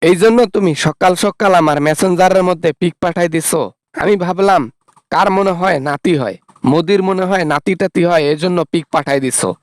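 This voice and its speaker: A greedy, sarcastic grown son, acted by a man in a political parody skit. His voice is very high for a man, recorded dry. He scolds and demands with open scorn. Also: brisk, no room sound, natural voice. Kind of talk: satirical phone-call parody skit.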